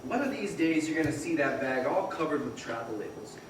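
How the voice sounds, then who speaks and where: A man's voice: on the high side, very animated, brisk. An actor playing an eager, restless young man in an old-time radio drama on stage, earnest, boyish and full of exclamations. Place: performance hall, far from the microphone. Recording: very echoey, distant, noisy stage recording.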